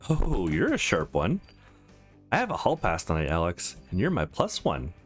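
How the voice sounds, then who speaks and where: A man's voice: sits low, huge pitch swings, normal speed, faint music behind. A man voicing a flirtatious, conflicted married woman in a visual novel, frank, teasing and confessional.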